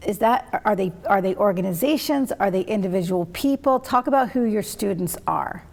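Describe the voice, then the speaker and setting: Lady host with a gravelly voice, asks long winding questions. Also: medium pitch, expressive, moderate pace, darkish tone. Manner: rambling questions, frequent backchannel. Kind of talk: interview hosting.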